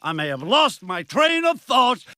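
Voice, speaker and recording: A man's voice: extremely high pitch, big pitch swings, a little slow, full character voice. An actor voicing a flustered cartoon man in a dress, theatrical and exasperated. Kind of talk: cartoon acting. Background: loud music.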